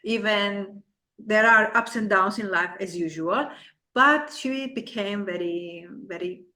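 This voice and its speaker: A middle-aged Bulgarian woman, a coach, speaking earnest, halting non-native English in an interview. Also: medium pitch, pushed and bright. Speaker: guest, Neurographica coach. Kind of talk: casual interview.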